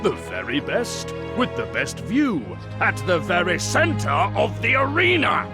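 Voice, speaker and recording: An actor playing an imperious, stately Roman emperor in a cartoon game, speaking pompously and commandingly. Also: male voice, sits high, huge pitch swings, unhurried, gravelly, over music, exaggerated voice. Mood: grand, sardonic.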